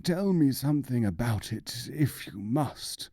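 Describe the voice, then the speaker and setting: Querulous old eastern sage acted by a man, raised pitch. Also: animated, unhurried, dark tone, no room sound, studio quality. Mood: irritated. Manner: ruffled, querulous.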